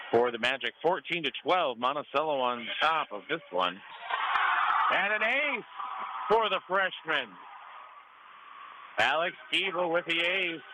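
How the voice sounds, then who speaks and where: A man calling live volleyball play-by-play for radio in clipped short calls that rise on big plays. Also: medium pitch. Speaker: sports play-by-play announcer. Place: school gymnasium. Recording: noisy live gym broadcast. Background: gym ambience, cheering student sections.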